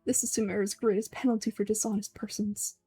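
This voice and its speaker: A woman's voice: medium pitch, little pitch movement, fast, mellow, slightly rough, dry recording, soft background music. A woman voicing a pompous, coldly calculating old male villain from a game, speaking formally and condescendingly. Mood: smug, menacing. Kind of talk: amateur voice-over of game dialogue.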